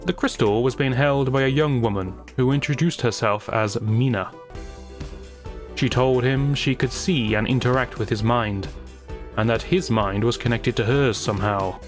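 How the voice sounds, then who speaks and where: A man with a medium-pitched voice delivers scripted storytelling narration. His phrasing is deliberate and theatrical, with a wry edge. Music plays underneath his voice. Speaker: creator and narrator.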